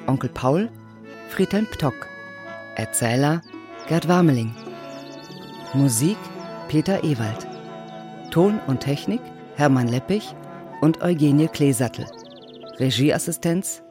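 A man announces radio play credits in a slightly high voice. He reads slowly and formally from a script, with music behind him.

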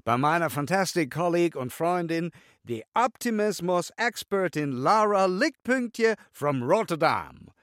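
An actor playing an excitable course instructor in a children's radio play, dropping English words into his speech. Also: high-pitched, measured pace, no room sound, studio quality, full character voice. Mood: enthusiastic. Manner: mixes English words into German. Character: excitable male course instructor introducing his fantastic colleague.

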